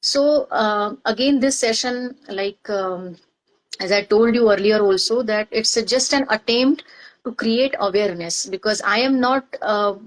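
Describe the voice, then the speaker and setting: Middle-aged woman from india, marathi first language, halting and reflective, many fillers. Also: medium pitch, low-quality recording. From Maharashtra, India. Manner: hesitant, many pauses and fillers. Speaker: guest, organizational psychologist. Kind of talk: reflective personal interview.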